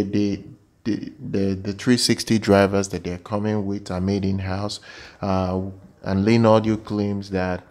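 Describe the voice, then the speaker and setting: A man giving an informal product news voice-over as a channel presenter, with halting, repetitive phrasing. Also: low-pitched, a little mellow.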